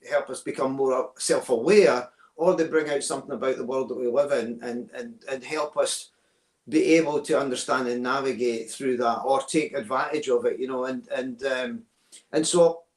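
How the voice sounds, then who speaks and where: Scottish man in his sixties, echoey room. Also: a little high. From Scotland. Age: 60s. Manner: explanatory, repeats words while thinking, asks "right?". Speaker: guest. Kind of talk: reflective interview talk.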